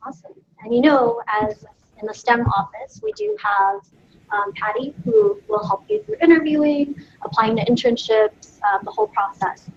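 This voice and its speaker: A woman hosts a college panel Q&A, upbeat and a little hesitant, with plenty of ums. Her voice sits high and moves a lot, with gravelly fry at the ends of phrases. The mic sound is a touch dull and carries faint hiss. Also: very animated, normal speed. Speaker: panel moderator. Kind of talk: moderating a student Q&A. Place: college presentation event. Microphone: handheld mic.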